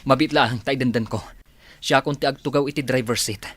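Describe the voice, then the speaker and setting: An earnest young man as a male actor plays him in a radio drama, speaking with an Ilocano accent at a middling pitch. He sounds sincere and ready to help. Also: brisk, a bit bright, dry recording, lightly acted. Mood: urgent, helpful.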